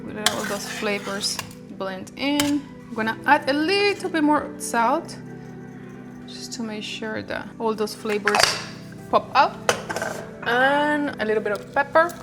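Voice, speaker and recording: A woman's voice: on the high side, lively intonation, unhurried, over music. Chatty Colombian woman hosting a cooking video. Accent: Colombian Spanish. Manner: warm, chatty, guiding viewers step by step. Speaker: cooking channel host. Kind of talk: cooking vlog demonstration. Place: home kitchen.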